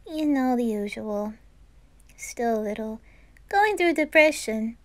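A woman voicing a shy, downcast teenage anime boy, speaking quietly and hesitantly in an amateur audio roleplay. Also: fairly high pitch, expressive, slow pace. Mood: subdued, shy.

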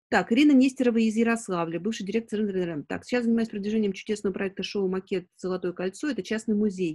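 A woman in her forties hosts an informal webinar over a video call, answering questions in a halting way as she thinks aloud. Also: mid-range voice, a little mellow, husky. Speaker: webinar host. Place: indoors, room at home. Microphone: video call on a laptop.

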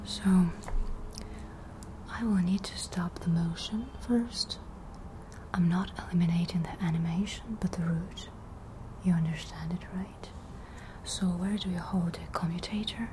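A young woman playing an unhurried, soft-spoken cyberpunk tattoo removal technician in a breathy, intimate ASMR roleplay whisper. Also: on the low side, noisy background, close to an everyday voice. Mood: calm, attentive. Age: young adult. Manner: slow, hushed, intimate close-up delivery. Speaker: ASMR performer.

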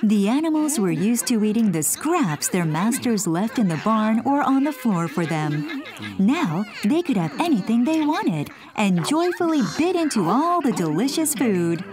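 A woman's voice: a little high, sing-song, a little slow. A woman narrating a scripted children's bedtime story. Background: music.

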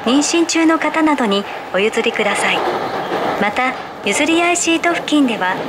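A high female voice reads scripted transit announcements in a polite, formal, measured way. It comes over the speakers of a train car, with clear rumbling noise around it. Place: played over speakers inside a subway car. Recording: noisy train interior, constant rumble.

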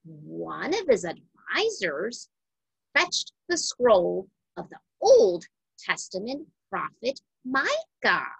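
A woman reading a story aloud to children with deliberate, dramatic phrasing. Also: medium pitch, wildly swooping pitch, gravelly, slightly rough, no room sound, poor audio. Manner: deliberate, dramatic phrasing with questions to young listeners. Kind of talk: expressive scripted read-aloud for children.

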